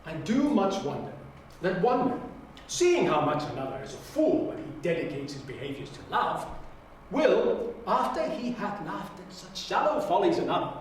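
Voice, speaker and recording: A man performing a bemused, scoffing Shakespearean gentleman in a theatrical audition monologue. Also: extremely high pitch, pitched above the performer's own voice. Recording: very echoey room, distant mic, faint noise.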